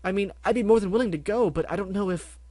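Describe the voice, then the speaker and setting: A woman's voice: mid-range voice, fast talker, warm tone, dry recording, voice pushed up, close to an everyday voice. Man doing a fretful dramatic unicorn lady. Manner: fretful and theatrical.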